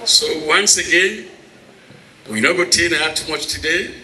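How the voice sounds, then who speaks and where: A man's voice: a little high, measured pace, sharp tone. A man with a Sierra Leonean Krio accent welcoming a gathering as moderator of a meeting. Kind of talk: informal welcome speech to a gathering. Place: hall at a town hall meeting. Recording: echoey hall with background noise.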